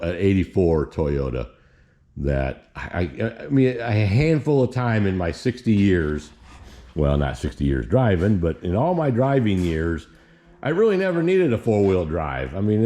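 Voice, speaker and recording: A man's voice: low-pitched, dark tone. A sixty-year-old man from Indiana chatting casually in his garage vlog, rambling and folksy, with many restarts. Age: 60s. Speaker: garage vlogger. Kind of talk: casual how-to chat.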